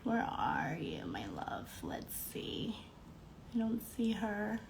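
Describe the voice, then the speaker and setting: A woman's voice: mid-range voice, wildly swooping pitch, takes it slowly, vocal fry, in a whisper. A young woman hosting a live podcast stream, congested and a little nasal, bubbly and greeting viewers by name between fillers. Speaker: podcast host. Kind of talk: casual live-stream chat.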